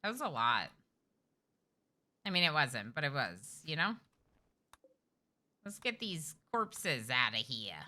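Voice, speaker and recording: A woman streaming a game live, chatty and excitable, talking to her characters as she plays at home. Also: deep voice, slow, long pauses. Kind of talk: live gameplay commentary.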